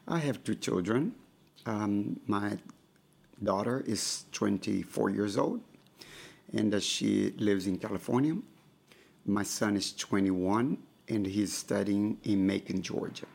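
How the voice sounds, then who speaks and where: Guy in his 50s, pastor, gravelly low voice, lots of ums, chatting in a podcast interview. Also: a little mellow. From Brazil. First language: Portuguese. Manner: hesitant, many ums and pauses. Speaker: pastor and missionary. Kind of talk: casual interview.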